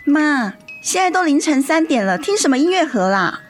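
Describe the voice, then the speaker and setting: 一位女演员在广播剧中饰演十七岁、爱赌气又叛逆的姐姐。. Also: female voice, high voice, big pitch swings, normal speed, over music. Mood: annoyed, defensive. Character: sulky, defiant seventeen-year-old girl, elder sister. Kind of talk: radio drama acting.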